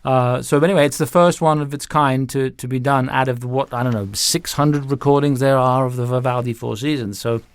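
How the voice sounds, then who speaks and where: A middle-aged or older man speaking conversationally as an interview guest, explaining things in an animated, anecdotal way with self-interruptions. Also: medium pitch, a little fast, few pauses, clear, resonant tone, no room sound. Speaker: interview guest, music director and violin teacher.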